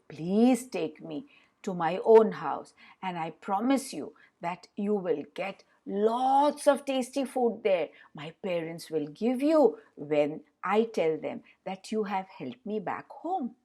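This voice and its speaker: A woman's voice: medium pitch, expressive, slow, mellow. Woman voicing a tiny, earnest storybook boy. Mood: coaxing. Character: tiny thumb-sized boy, confident and pleading. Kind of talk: storybook character acting.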